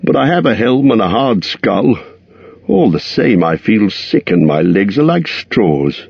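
A man plays a small, kindly hobbit in a storybook reading, pitched well above his own voice. The hobbit sounds shaky and fretful, his husky tone muffled by a dull, lo-fi recording. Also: very animated, a little slow, dry recording, heavily acted. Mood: sorrowful. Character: small anxious male hobbit, weary and kindly.

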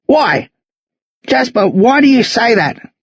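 Casting: man to act a confused old Australian fellow for an audiobook, rough-voiced, halting, full of pleading questions. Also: high-pitched, very animated, darkish tone, no room sound, lo-fi sound, pitched above the performer's own voice, exaggerated voice. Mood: distressed, pleading. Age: older.

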